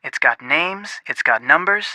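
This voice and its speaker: A man voicing a sensible, earnest young amateur detective in a video game, matter-of-fact and gently chiding. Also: fairly high pitch, lively intonation, unhurried, no room sound, exaggerated voice. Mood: helpful.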